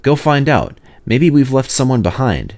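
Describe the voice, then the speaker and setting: Engine driver, male, played by a man: laconic storybook railwayman, brisk, unflappable, lively intonation. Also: mid-range voice, voice pushed up. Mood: brisk, resigned. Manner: matter-of-fact, brisk.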